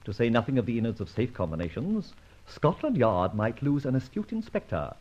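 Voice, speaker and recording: Theatrical, animated gentleman sleuth. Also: mid-range voice, brisk. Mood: playful, confident. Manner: flowery, teasing, theatrical speech. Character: suave, playful amateur sleuth, theatrical and self-assured. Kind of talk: old-time radio detective drama acting. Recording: dull, muffled old radio recording.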